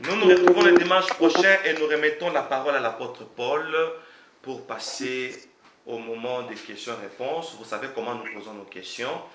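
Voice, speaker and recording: A man's voice: mid-range voice, normal speed, reverberant, background noise. A man preaching a sermon as pastor, emphatic and full of rhetorical questions; French is not his first language.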